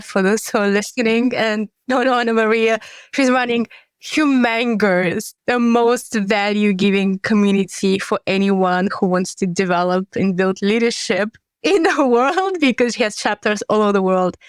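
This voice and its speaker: A woman's voice: medium pitch, clean tone, no room sound, studio quality. A woman hosting a podcast interview, enthusiastic and full of praise for her guests. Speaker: podcast host.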